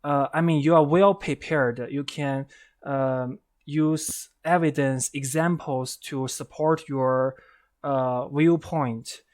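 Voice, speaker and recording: Slow, hesitant man with a Chinese accent. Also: mid-range voice, steady tone. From China. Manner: hesitant, many uh fillers. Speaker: interviewer, English learner. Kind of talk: casual interview.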